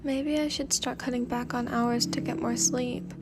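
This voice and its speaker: A woman's voice: a little high, fairly even intonation, normal speed, over music, lightly acted. A woman voicing a sceptical, sarcastic seventeen-year-old girl with a dry, muttering delivery in a story reading. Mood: baffled.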